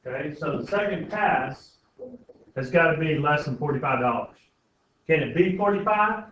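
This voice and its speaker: A man's voice: a little high, expressive, moderate pace, a bit bright. A man teaching a class informally, often checking in with questions. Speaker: algebra teacher. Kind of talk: classroom lesson, thinking aloud with questions to students. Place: indoor classroom. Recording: very echoey classroom, distant mic, muffled, faint noise.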